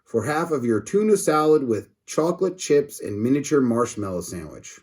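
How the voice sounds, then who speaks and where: A man voicing an excitable, bossy schoolboy in a storybook, exclaiming emphatically. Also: mid-range voice, normal speed, slightly rough. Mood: eager. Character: excitable schoolboy George, bossy, urgent, conspiring.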